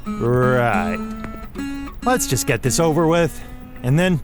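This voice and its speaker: A man's voice: medium pitch, big pitch swings, slow, music in the background. A man playing a reluctant, exasperated young rookie henchman in an audio drama, awkward, put-upon and sarcastic.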